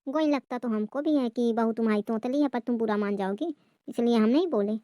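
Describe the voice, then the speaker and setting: A woman's voice: fairly high pitch, a little fast, mellow, dry recording, studio quality, lightly acted. A woman playing a fault-finding, gossipy auntie with a complaining manner in a family comedy skit. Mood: needling.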